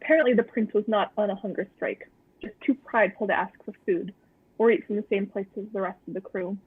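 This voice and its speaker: A woman with a husky, breathy edge reads a story in a casual, understated way. Her delivery is deadpan, and the sound is close, dry and a little lo-fi. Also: medium pitch, fast talker, no room sound. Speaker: co-host of a fan fiction read-aloud stream. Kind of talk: story reading and casual chat.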